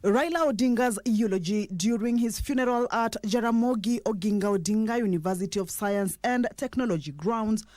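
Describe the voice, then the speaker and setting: A woman's voice: fairly high pitch, normal speed, few pauses, a little mellow. A woman with a Kenyan accent narrating a scripted television news report.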